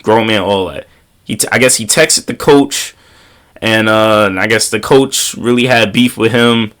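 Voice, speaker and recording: A man's voice: fairly low pitch, measured pace, a bit bright. A young man with a slight cold and a congested voice, giving a casual motivational talk outdoors and repeating key phrases for emphasis. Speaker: motivational vlogger. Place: outdoors on a windy day.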